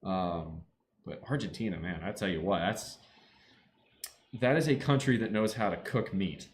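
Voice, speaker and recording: A man chatting casually to his live stream from home, slipping into sudden excited yelling at a football game. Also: low voice, normal speed, slight background noise. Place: home room.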